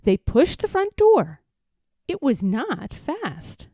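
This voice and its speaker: Lively, high-voiced woman reading a children's story. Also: slow, dry recording, studio quality. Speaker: storybook reader. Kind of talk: expressive read-aloud of a children's story.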